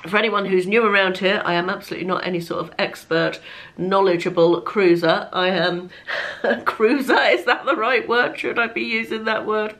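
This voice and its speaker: A slightly croaky woman, chatting to camera as a lifestyle vlogger, chatty and self-deprecating, often laughing as she talks. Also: medium pitch, moderate pace, fairly bright tone. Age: 50s. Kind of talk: casual chat to camera.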